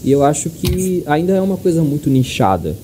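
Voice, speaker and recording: A man's voice: a little high, big pitch swings, brisk. A man with a Brazilian accent co-hosting a podcast, chatting casually and giving emphatic opinions. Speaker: podcast co-host. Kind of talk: casual chat. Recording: clear background noise, room ambience.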